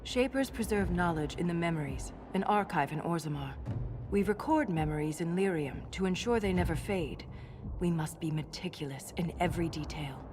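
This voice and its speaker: An actress playing an earnest, formal dwarven scholar in a video game, speaking precisely and with measured care. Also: female voice, fairly low pitch, expressive, background noise, natural voice. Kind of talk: video game cutscene acting.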